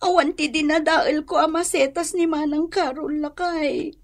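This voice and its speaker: A woman's voice: high-pitched, fairly even intonation, normal speed, no echo. A woman with an Ilocano accent playing a meek, wronged village wife in a radio drama, plaintive and pleading. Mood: tearful, aggrieved.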